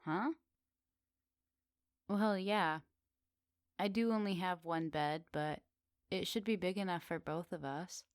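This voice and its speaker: A woman playing a flirty, teasing witch in a soft, intimate ASMR roleplay. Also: on the low side, no room sound, clean studio sound. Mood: calm, coaxing. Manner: soft, teasing, giggly, unhurried. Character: teasing, flirty, lonely witch in a woodland cottage.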